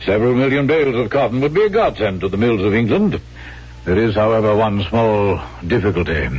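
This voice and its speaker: A shrewd, blustery British merchants' agent in a vintage radio drama. His voice is very rough and swings widely with pompous emphasis. The old recording sounds muffled. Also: on the low side, expressive, a little fast, a little mellow. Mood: shrewd, insistent. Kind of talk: 1970s radio drama acting.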